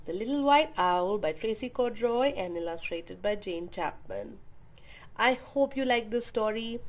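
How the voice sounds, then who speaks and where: Woman, children's story read-aloud, slow pace, animated intonation, expressive, drawn-out emphasis. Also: mid-range voice.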